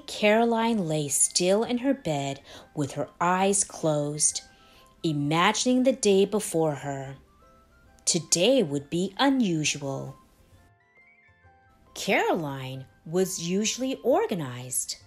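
Slow, expressive woman reading a storybook. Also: fairly low pitch. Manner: deliberate, expressive storytelling with dramatic pauses. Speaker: storybook reader, channel host. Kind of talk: children's read-aloud, scripted narration. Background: background music.

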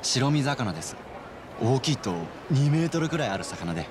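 An actor playing a polite, helpful young man in a video game cutscene, speaking in a courteous, measured, formal way. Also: mid-range voice, sing-song, background noise, lightly acted. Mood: polite, friendly.

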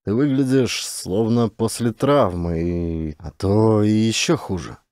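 Casting: male narrator as concerned, baffled young officer, sci-fi audiobook, worried questions, mellow timbre. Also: on the low side, a little slow, dry recording, clean studio sound, natural voice. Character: anxious, puzzled, concerned male lieutenant.

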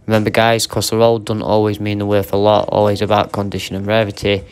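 A man's voice: fairly low pitch, completely flat delivery, quick pace, smooth. A man with an English accent giving casual, informal vlog commentary to viewers, chatting to them like mates. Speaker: video host and reseller. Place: indoor auction warehouse.

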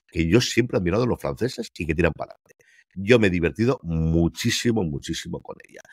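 A man's voice: low voice, dry recording, studio quality. A man from Spain co-hosting a casual TV series review chat over a video call, talking emphatically and firing off rhetorical questions. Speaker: TV series critic co-host. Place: home room, remote call. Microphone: headset and desk mic over video call.

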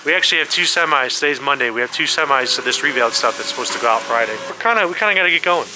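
A man's voice: medium pitch, animated, racing. Chatty young man vlogging in a hay barn. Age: young adult. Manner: candid, chatty, talking to camera. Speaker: farm vlogger. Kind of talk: casual vlog narration. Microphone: handheld phone camera at arm's length. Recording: noisy barn with engine hum.

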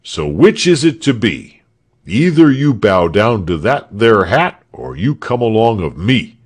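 A man's voice: lowish voice, very animated, slow pace, breathy edge, full character voice. A man voicing a stubborn, officious common soldier in a storybook, with blunt, ungrammatical rustic speech. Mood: angry, threatening.

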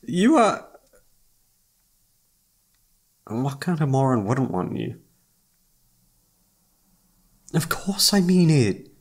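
Man acting as young male best friend, secretly smitten, informal, hesitant, emotive, very lively intonation, roleplay audio. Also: mid-range voice, a little slow, husky, exaggerated voice. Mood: indignant, adoring. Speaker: voice actor. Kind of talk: roleplay audio drama, one side of a casual conversation.

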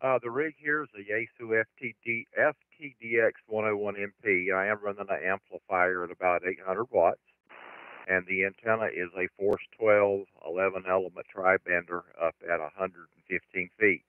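A man's voice: low voice, fairly even intonation, moderate pace, clear, resonant tone, no room sound. A man with an American accent, a ham radio operator giving matter-of-fact, orderly reports over shortwave radio. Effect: heard over shortwave radio. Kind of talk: ham radio contact exchange. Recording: dry, tinny band-limited radio signal.

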